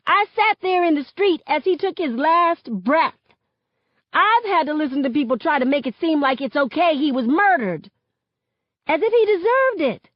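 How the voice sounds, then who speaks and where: A woman's voice: mid-range voice, very animated, unhurried, slightly rough, no room sound, dull audio, heavily acted. A woman voicing a hurt, fiery teenage girl in an audiobook, with an emotional, impassioned delivery. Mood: anguished, angry.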